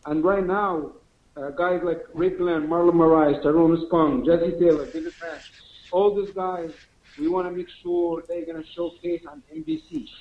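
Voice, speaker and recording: A man's voice: fairly high pitch, normal speed, vocal fry. Echoey phone-line man. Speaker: fight promotion executive. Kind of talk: media conference call.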